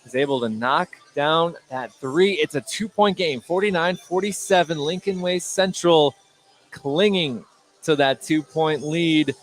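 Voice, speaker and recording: Guy calling a basketball game live on radio, voice a bit high, short punchy calls, lots of noise behind him. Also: a little slow. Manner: short clipped calls, rising on big plays. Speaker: play-by-play sports announcer. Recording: clearly noisy live game broadcast.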